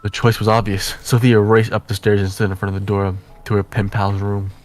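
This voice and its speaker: Low-voiced man narrating suspensefully. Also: level tone, fairly quick, a little mellow, faint music behind. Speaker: player reading a visual novel aloud. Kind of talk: dramatic storybook-like narration.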